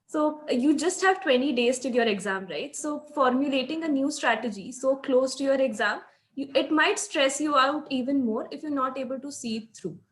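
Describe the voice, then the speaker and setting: A woman's voice: high-pitched, a bit bright. A woman in her twenties with an Indian accent, giving conversational advice as a student panelist. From Nashik, India. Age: 20s.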